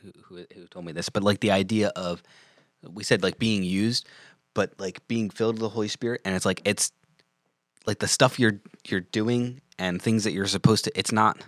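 A man's voice: on the low side, fairly even intonation, normal speed, no echo, studio quality. A man co-hosting a podcast, thinking aloud and sometimes losing his train of thought in a casual faith discussion. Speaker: podcast co-host.

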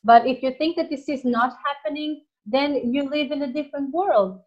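Host with a Portuguese accent, a woman, earnest and emphatic in conversation, higher voice, muffled recording. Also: normal speed. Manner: earnest, emphatic, searches for words. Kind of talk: casual conversation.

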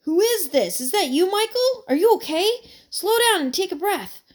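Shrill, impatient young boy in a read-aloud story, voiced by a woman. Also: sing-song, moderate pace. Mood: startled.

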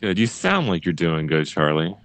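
A man hosting a casual podcast with friends, his voice deep and rough from a cold, laid-back and quick to laugh. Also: sing-song, a bit bright, no echo. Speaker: podcast host.

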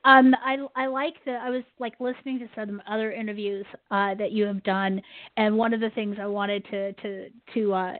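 A woman's voice: mid-range voice, steady tone, normal speed, dry recording. A woman co-hosting an interview in casual chat, hesitant and repeating words as she searches for them. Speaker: co-host. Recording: phone-like line, dry.

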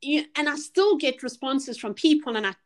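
A woman's voice: sits high, animated, moderate pace. A middle-aged woman with a South African accent, a personal trainer and motivational speaker. She talks chattily and earnestly as a podcast guest, with many restarts and fillers. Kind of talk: casual podcast interview.

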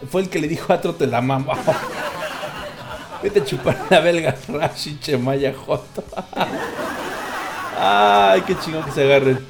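A man's voice: on the high side, slow pace, gravelly, long pauses, music in the background. A man with a Mexican accent hosting a casual online show, chatting and reading listeners' messages in crude, jokey slang. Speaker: host of a live listener-call-in style online show.

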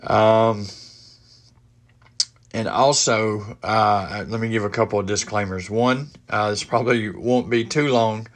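A man's voice: on the low side, fairly even intonation. Congested man hosting a podcast. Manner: halting, many fillers and restarts. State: congested from a head cold. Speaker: podcast host. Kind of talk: casual solo podcast talk. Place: home office.

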